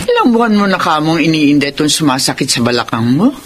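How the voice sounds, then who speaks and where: A woman's voice: deep voice, very animated, fast talker, over music. A woman acting a concerned, blunt middle-aged aunt, frank and fussing, in radio drama style. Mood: concerned, urging. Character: concerned, blunt older aunt and folk massage healer.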